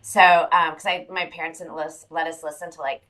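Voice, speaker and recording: A woman's voice: deep voice, flat delivery, a little fast, pushed and bright. A woman who sang in a pop group, chatting casually as a podcast guest with frequent hesitations. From California. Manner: hesitant, many fillers. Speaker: guest, former pop singer. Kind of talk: casual interview chat.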